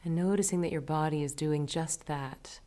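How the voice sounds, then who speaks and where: Woman meditation teacher, pretty low voice, gentle and soothing, unhurried guided breathing style. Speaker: meditation instructor.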